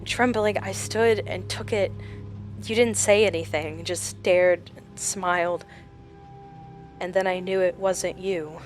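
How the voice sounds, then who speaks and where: Female, haunted horror-drama survivor, slow halting delivery, mid pitch, music running underneath. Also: fairly even intonation. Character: shaken woman recounting a supernatural encounter with a shapeshifter. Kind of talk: audio drama acting.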